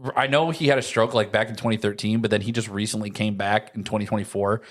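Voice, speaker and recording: A man's voice: lowish voice, steady tone, fast talker. A young adult man co-hosting a casual podcast chat, rambling and saying "like" constantly. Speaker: podcast co-host.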